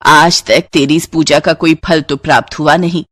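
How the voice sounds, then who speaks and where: Bitter, tight-fisted mother-in-law for a moral tale, a woman acting: raspy-edged, dismissive, scolding, recorded very dry. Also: deep voice, steady tone, moderate pace, no room sound. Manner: carping, sarcastic, dismissive. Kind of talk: moral story dialogue.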